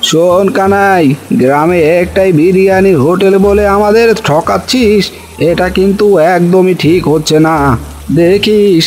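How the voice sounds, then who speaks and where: A man voicing a grumbling older village uncle in an animated folk tale, with exclamatory, scolding delivery. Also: high-pitched, a little slow, soft background music. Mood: indignant scolding.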